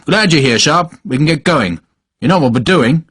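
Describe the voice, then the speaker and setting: A man voicing a short, pious older cavalry major who speaks gruffly and brusquely in clipped commands. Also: fairly high pitch, expressive, normal speed, fairly bright tone, no room sound, voice pushed up. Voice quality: gruff, brusque, barking laugh. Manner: clipped commands, fervent and impatient. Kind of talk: audiobook character voice.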